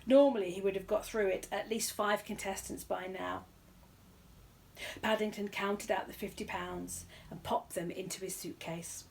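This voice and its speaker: A woman reading a children's story aloud in an expressive narrating style. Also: mid-range voice, moderate pace, a little hiss or hum. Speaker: story reader.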